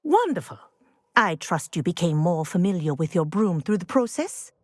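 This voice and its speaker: An actress playing a middle-aged flying professor in a video game, measured, instructive and encouraging. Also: female voice, on the low side, sing-song, no room sound, studio quality. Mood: warm encouragement. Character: encouraging, brisk female flying teacher at wizard school.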